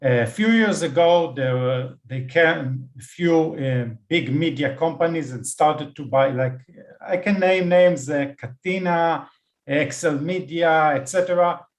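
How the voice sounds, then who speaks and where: A man answering as a guest on an online panel, measured, with fillers. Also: a little high. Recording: roomy echo.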